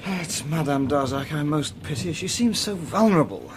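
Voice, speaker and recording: A man's voice: a little high, expressive, moderate pace, a little hiss or hum, close to an everyday voice. An actor playing an earnest, put-upon male barrister in a period radio drama, easily flustered. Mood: earnest, hesitant.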